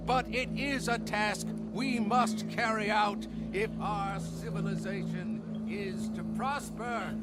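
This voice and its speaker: An actor playing a stern, solemn official in a game, proclaiming slowly and formally over music. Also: male voice, high-pitched, steady tone. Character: stern, solemn official pronouncing a death sentence. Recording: loud music under the voice.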